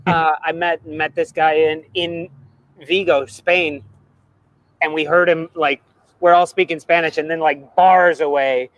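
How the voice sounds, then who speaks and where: An American man from the South chatting casually as co-host of a football fan show, animated and quick to laugh. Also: on the high side, poor audio. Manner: animated, laughs often, slips into put-on accents. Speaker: podcast co-host.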